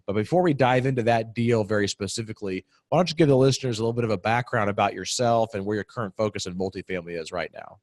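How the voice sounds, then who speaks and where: A man in his thirties hosting an interview podcast from a home office on a desk mic, upbeat and quick. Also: on the low side, darkish tone, dry recording, clean studio sound. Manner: upbeat, quick, scripted-sounding intros. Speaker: podcast host. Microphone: desk podcast mic on video call.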